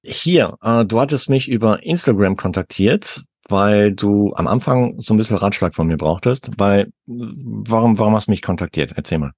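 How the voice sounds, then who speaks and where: A man hosting a podcast, chatting casually and relaxed with a guest, using many fillers. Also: lowish voice, normal speed, few pauses, husky, dry recording, studio quality. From Germany. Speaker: podcast host. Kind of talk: casual interview chat. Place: home in France.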